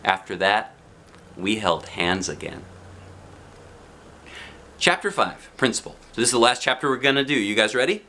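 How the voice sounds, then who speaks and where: A man reading a children's storybook aloud in an expressive narrating style with short, simple phrases. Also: fairly low pitch, normal speed, long pauses, slight background noise. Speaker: storybook reader.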